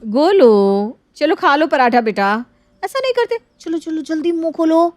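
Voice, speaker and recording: A patient young mother, played by a woman speaks in a very high, lively voice. She coaxes and mildly defends herself in a comic family tale with dry, close sound. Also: measured pace, no room sound. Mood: coaxing.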